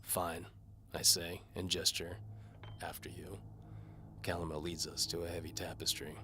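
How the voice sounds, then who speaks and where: A man's voice: low-pitched, level tone, a little slow, background noise. A man playing a cynical, hard-bitten mercenary bowman who narrates his own case in a wry, terse noir style. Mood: dry. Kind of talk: scripted first-person noir fantasy narration.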